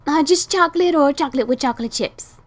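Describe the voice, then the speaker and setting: A woman's voice: high voice, quick pace, soft background music, lightly acted. A woman voicing a kindly, over-polite pony shopkeeper who uses folksy endearments, in a fan audio drama. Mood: polite, eager.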